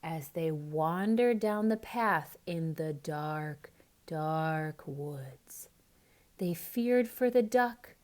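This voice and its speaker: A woman reading a picture book aloud to young children as a dramatic, expressive storyteller, with explanatory asides. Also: sits low, drawn-out words.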